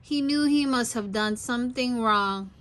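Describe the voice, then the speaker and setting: A woman's voice: fairly high pitch, a little slow, fairly bright tone. A woman reading a story aloud expressively for young children. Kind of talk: children's read-aloud narration.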